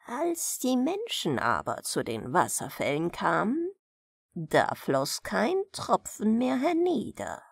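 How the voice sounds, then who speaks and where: A woman reading a fairy tale as a bedtime audiobook, in an expressive, unhurried storytelling manner. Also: medium pitch, gravelly, slightly rough, dry recording, studio quality. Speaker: audiobook reader of fairy tales.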